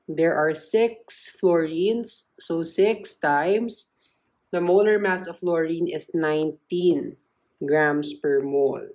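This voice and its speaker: A woman with a low voice gives a step-by-step tutorial. She speaks slowly and explains things in simple phrases, as a tutor does. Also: darkish tone, low-quality recording. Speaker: chemistry tutor.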